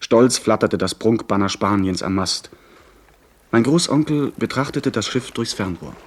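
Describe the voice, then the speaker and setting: A young gentleman telling a seafaring adventure, his voice on the low side. The delivery is earnest, literary and dramatic, in the scripted style of a radio play. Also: animated, a little slow. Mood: vivid, tense. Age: young adult. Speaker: narrator and character.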